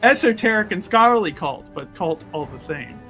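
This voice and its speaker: A man with a fairly high voice plays in a tabletop roleplaying session. He improvises his character's story in long, halting phrases and circles back on his words. Faint music plays behind him. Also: expressive, bright, forceful tone. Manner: halting, repetitive, wordy.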